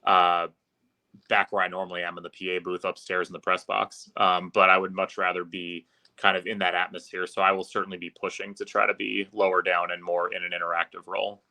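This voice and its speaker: Bright, forceful man with a low pitch. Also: little pitch movement, brisk, gravelly. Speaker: podcast guest, arena public address announcer. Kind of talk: casual interview chat.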